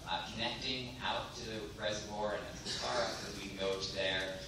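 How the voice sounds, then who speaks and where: A man giving earnest debate answers as a candidate, with many fillers. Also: fairly low pitch. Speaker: mayoral candidate. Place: synagogue hall. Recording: echoey hall from far off, noisy.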